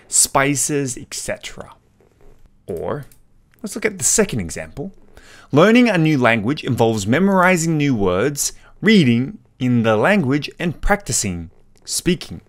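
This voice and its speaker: A male teacher and native English speaker walks learners through a language point in an explanatory style. His mid-pitched voice moves up and down in a lively way. He switches between English and Korean as he gives translations.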